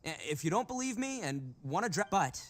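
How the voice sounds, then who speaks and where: An actor playing a proud, big-hearted young oni gang boss in a video game, with an emphatic, earnest delivery. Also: male voice, sits high, very animated, fairly quick. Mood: frank. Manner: boastful, earnest storyteller, emphatic.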